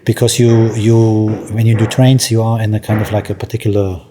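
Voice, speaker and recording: A man, an artist speaking in a casual interview, pausing often with ums and restarts. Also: lowish voice, flat delivery, background noise. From Germany. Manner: hesitant, many ums and restarts. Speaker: artist guest.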